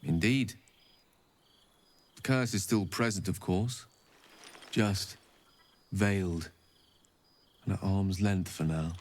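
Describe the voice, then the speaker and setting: A man's voice: sits low, slow, long pauses. An actor playing an eloquent, learned male wizard in a video game, speaking in articulate, tender, formal phrasing. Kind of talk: game voice acting. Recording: game audio with soft music behind.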